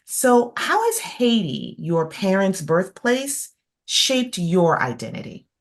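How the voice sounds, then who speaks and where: A woman hosting an interview, speaking in a measured, thoughtful way with frequent fillers over a video call. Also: medium pitch, big pitch swings. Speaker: host.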